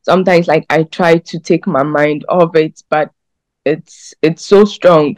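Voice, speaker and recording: A woman's voice: low voice, a little mellow, no room sound. A young woman from Ghana giving a hesitant testimony over a video call from a small room. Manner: hesitant, lots of fillers. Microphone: video call laptop.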